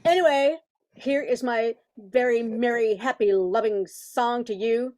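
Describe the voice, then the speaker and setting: Woman playing a haughty, high-voiced diva reindeer. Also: unhurried, close to an everyday voice. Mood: pompous, dismissive. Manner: sharp, pompous, exasperated. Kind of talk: amateur Christmas radio play.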